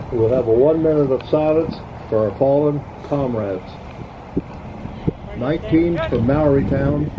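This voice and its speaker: A man as master of ceremonies making solemn, measured announcements at an outdoor remembrance ceremony. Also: mid-range voice. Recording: muffled outdoor recording with loud background noise.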